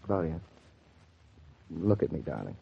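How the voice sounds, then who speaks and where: An actor playing a gentle, reticent, solemn young husband in a 1940s radio drama. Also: male voice, a bass voice, sing-song, measured pace, creaky, slightly rough, exaggerated voice. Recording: dull vintage 1940s radio recording.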